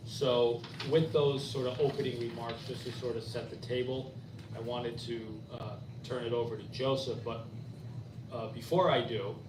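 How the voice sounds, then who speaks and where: A man co-editing a book, giving measured opening remarks with frequent fillers at a bookstore author event. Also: medium pitch, steady tone. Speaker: book co-editor. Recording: roomy, far-off mic, ambient noise.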